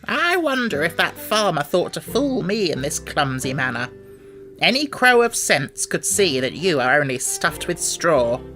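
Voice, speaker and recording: A man's voice: on the high side, sing-song, measured pace, pushed and bright, faint music behind, heavily acted. Man as a blunt, knowing old crow. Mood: scornful. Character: worldly old male crow, blunt but kindly.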